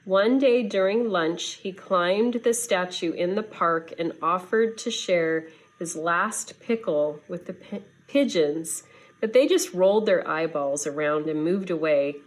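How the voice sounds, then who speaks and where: A woman reading a children's story aloud in an expressive, deliberate teacher's manner. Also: on the low side, rough recording. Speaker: schoolteacher reading to students.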